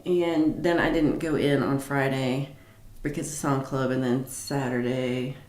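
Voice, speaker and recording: Rambling woman with a low voice. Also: steady tone, measured pace, a little mellow, roomy sound. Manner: chatty, rambling, many fillers and restarts. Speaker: livestream host. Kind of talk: casual live chat reading comments.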